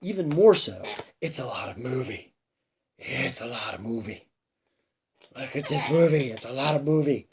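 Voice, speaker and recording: A man giving a casual, unscripted movie review, rambling and halting, repeating himself emphatically and sounding a bit tired. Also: mid-range voice, animated, slight background noise. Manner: sweary, emphatic. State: tired, sighing.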